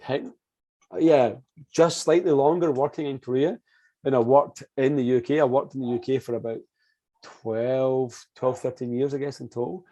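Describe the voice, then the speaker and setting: A man's voice: mid-range voice, steady tone, normal speed, dark tone. Man, 40s, joking manner, repeats words, interview guest. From Scotland. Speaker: guest, language school manager. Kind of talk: casual interview chat.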